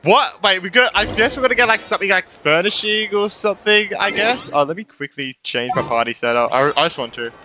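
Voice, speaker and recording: A man's voice: high voice, lively intonation, a little fast, few pauses, pushed and bright, a little hiss or hum. A young man giving casual, rambling playthrough commentary as a gaming YouTuber, informal and exclamatory. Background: game music.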